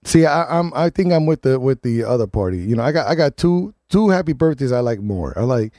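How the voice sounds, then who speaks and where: Man, morning radio host, casual rambling chat, warm tone, dry studio mic. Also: mid-range voice, expressive, a little fast, no room sound. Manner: chatty, wanders onto tangents. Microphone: studio microphone.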